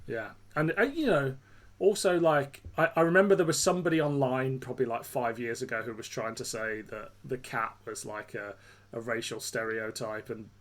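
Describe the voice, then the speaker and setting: A man's voice: mid-range voice, normal speed. A British man chatting loosely on a podcast. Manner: rambling, chuckling often. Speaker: podcast host. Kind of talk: casual chat.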